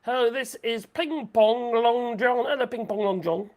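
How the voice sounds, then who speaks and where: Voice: mid-range voice, a little slow, a little mellow, voice pushed up, lightly acted. A British livestreamer putting on a falsely polite phone scam caller. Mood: wheedling. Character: phone scam caller fishing for card details.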